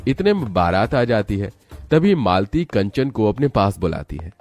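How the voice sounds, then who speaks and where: A man's voice: lowish voice, sing-song, moderate pace, a little mellow, soft background music. A man narrating a scripted, dramatic story as a storyteller.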